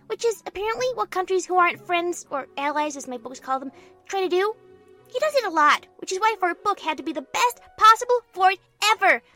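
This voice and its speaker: Super high little girl army general, pompous n earnest, really bouncy storybook narration, music behind. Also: bright, forceful tone, heavily acted. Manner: earnest, pompous, childlike narration with barked orders. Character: bossy self-important little girl playing army general. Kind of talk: first-person story narration.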